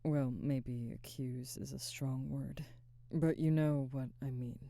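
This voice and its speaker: A young woman playing a guarded, burdened werewolf pack alpha in a soft, hesitant, intimate ASMR roleplay close to the microphone. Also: a bass voice, fairly even intonation, no echo, exaggerated voice. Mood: apologetic, burdened. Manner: hesitant, soft-spoken, halting, breathy sighs. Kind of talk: ASMR audio roleplay, intimate scripted monologue. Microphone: close microphone, dry.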